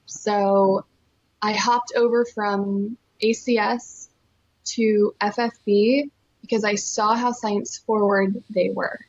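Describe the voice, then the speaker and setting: A woman's voice: medium pitch, flat delivery, slow pace, darkish tone, lo-fi sound. A woman, telling her story as an interview guest in an earnest, enthusiastic, emphatic way. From California, born in Costa Rica. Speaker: interview guest, nonprofit fundraiser.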